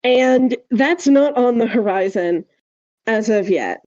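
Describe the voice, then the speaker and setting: A woman's voice: a little high, animated, slow pace, darkish tone, no room sound. A woman co-hosting a podcast, chatting casually in an informal, rambling way. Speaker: podcast co-host. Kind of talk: casual conversational podcast chat. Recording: slightly dull, dry recording.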